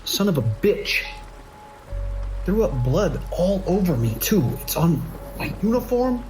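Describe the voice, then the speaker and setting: A man's voice: high-pitched, big pitch swings, measured pace, a little mellow, soft background music, poor audio. A man playing a desperate police dispatcher under siege in a horror audio drama, talking in urgent, clipped, commanding emergency-radio style. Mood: panicked, horrified.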